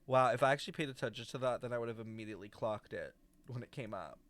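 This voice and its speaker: A young man streaming a video game with casual live commentary, expressive, slangy and swearing freely. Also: mid-range voice, fast talker.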